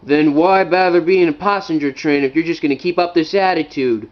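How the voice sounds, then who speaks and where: A man's voice: a little high, fairly quick, lo-fi sound, lightly acted. Man voicing an offended, protesting cartoon passenger. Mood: indignant. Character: offended, polite train passengers defending old coaches.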